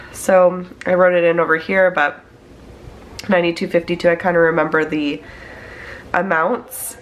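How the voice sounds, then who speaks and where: A woman in her thirties, congested from a cold, chatting casually as she plans her budget. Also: fairly low pitch. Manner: chatty, talks through her steps. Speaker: budget planning vlogger. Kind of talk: casual chat while planning on a tablet.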